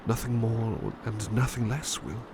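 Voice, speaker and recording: A man playing a devoted, tender butler in an intimate ASMR roleplay, speaking in a hushed, sleepy, halting voice that often slips into whispers. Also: mid-range voice, fairly even intonation, background noise, natural voice. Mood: protective, tender. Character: devoted, tender male butler comforting a beloved at bedtime.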